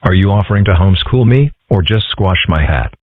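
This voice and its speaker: Deep husky deadpan fox boy played by man. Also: a little fast. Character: serene, wry young boy fox, philosophical schoolkid. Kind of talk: comic strip dub acting. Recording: tinny phone-like band-limited audio.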